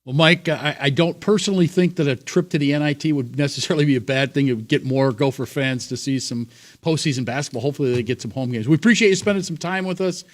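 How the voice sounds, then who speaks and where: A man hosting a sports talk show, asking a guest questions in a relaxed, conversational way. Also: mid-range voice, fast. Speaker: show host. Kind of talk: casual sports talk interview.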